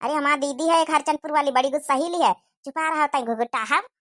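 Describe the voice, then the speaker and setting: A cartoon village mother-in-law, acted by a woman, bossy and easily flustered. She fusses in an Awadhi accent. The voice is extremely high and sharply bright. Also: quick pace. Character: bossy, fussing older village mother-in-law welcoming guests. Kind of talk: exaggerated cartoon comedy dialogue.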